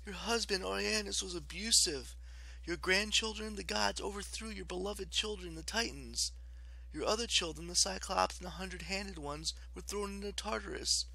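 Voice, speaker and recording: A man voicing a persuasive young girl in an audiobook, coaxing with kind, soothing, measured sympathy. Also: high voice, creaky, bright, forceful tone, husky, dry recording, voice pushed up, heavily acted, poor audio. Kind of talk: audiobook character acting.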